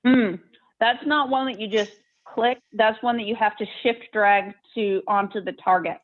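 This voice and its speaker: A woman of average pitch talks casually and instructively during an online tabletop game. Her audio is narrow and phone-like, as she helps the group with the software. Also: fairly even intonation, normal speed. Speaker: game participant helping with the software.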